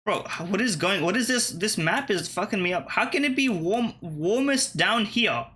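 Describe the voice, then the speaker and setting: A man's voice: high-pitched, lively intonation, moderate pace, bright, forceful tone. A young man casually thinking aloud and swearing while playing geography web games. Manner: thinking aloud, profane, muttering and restarting. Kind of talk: casual gameplay commentary.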